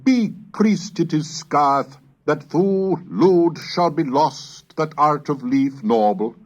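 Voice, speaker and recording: Slow, declamatory man on a dull cassette. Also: a little high. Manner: measured, declamatory, rhythmic delivery. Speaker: reader of historical English texts. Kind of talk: recitation of Middle English alliterative verse. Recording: dull lo-fi 1970s cassette recording.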